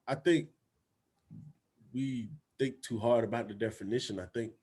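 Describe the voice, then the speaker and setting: A man in the host's seat of a casual podcast, easygoing and slangy. He talks loosely, swears freely and keeps checking in with "you feel me". His voice sits at a middle pitch for a man. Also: fairly even intonation, normal speed, a little mellow, slightly rough. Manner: laid-back slangy talk, frequent "you feel me", swearing. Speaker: podcast host.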